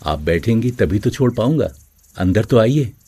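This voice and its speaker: A man's voice: fairly low pitch, lively intonation, normal speed, dark tone, slightly rough. An actor playing a kind, principled young man from a rich family, speaking earnestly and politely in a story.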